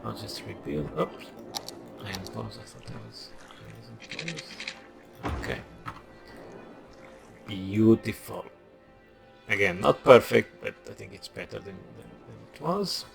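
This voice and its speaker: A young man with a Portuguese accent talks through a city-building game, restarting his sentences and muttering to himself as he goes. His voice sits low and carries a heavy gravelly fry. Loud music plays under him the whole time. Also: sing-song, measured pace. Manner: rambling, thinks aloud with restarts and mutters. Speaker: gameplay video creator. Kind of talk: casual gameplay commentary. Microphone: desk microphone.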